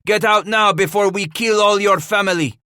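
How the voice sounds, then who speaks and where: A man's voice: high voice, moderate pace, sharp tone, no room sound, studio quality, pitched above the performer's own voice. A man voicing a hot-tempered French frontier leader in an audiobook, bellowing threats. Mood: warning.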